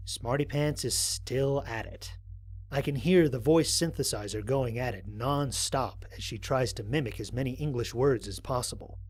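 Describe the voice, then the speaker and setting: An earnest, observant space explorer voiced by a man records his mission log in an audio drama. His voice is a little husky and moves expressively in pitch. The delivery is measured and reflective, with a fond, wry streak.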